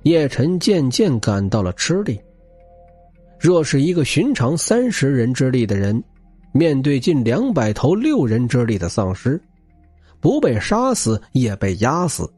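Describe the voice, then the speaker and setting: A man's voice: mid-range voice, a little mellow, husky, dry recording. A man narrating action fiction as a scripted audiobook, with a dramatic, theatrical and intense delivery.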